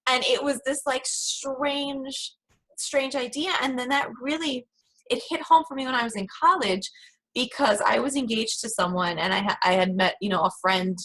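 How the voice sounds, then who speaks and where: Husky-voiced woman telling a story. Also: on the high side, moderate pace, a bit bright, poor audio. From Caribbean. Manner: chatty storytelling. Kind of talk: conversational interview.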